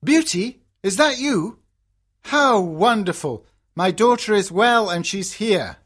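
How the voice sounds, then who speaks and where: A woman playing a loving, excitable elderly father in a simple fairytale, with a husky voice. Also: mid-range voice, takes it slowly. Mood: overjoyed. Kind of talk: fairytale storybook dialogue for English learners.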